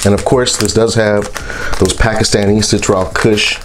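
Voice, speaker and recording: A man with a congested, stuffy voice from a sinus infection, reviewing products in a relaxed, rambling vlog. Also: lowish voice, moderate pace, noisy background. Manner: relaxed, rambling, with frequent fillers.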